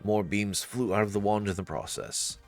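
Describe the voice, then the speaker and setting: A man's voice: lowish voice, normal speed, vocal fry. A man narrating a visual novel in a storytelling style. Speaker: narrator and let's-play reader. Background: faint music.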